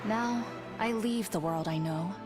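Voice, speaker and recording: A woman playing a solemn, dutiful guardian, narrating a motion comic in a measured, grave and reverent manner. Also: medium pitch, loud music behind the voice, lightly acted.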